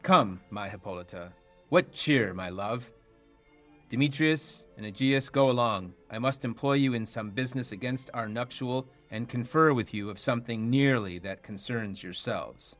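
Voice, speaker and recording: A man's voice: mid-range voice, moderate pace, fairly bright tone, faint music behind, natural voice. A man playing a commanding duke of Athens in a Shakespeare radio play, speaking formally. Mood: stern, courtly.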